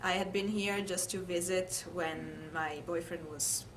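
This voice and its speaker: A woman's voice: fairly low pitch, fairly even intonation, a little slow. A woman from Italy with an Italian accent, thoughtfully recalling her life in an interview. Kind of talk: oral history interview, personal recollection. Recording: clear background noise, room ambience.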